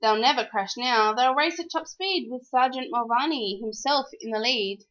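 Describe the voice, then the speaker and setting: A woman reading a rhyming children's storybook aloud in an expressive, animated, sing-song way. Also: on the high side, moderate pace, highs cut off.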